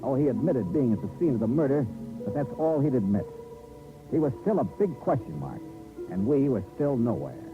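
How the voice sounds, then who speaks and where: An actor playing a hardboiled, shrewd investigator who narrates and questions in a clipped, sardonic 1950s radio-drama style. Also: male voice, mid-range voice, sing-song, brisk, natural voice. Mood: brooding narration. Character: hardboiled, shrewd male insurance investigator narrating his case. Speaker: narrator and lead detective. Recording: tinny old radio recording with music.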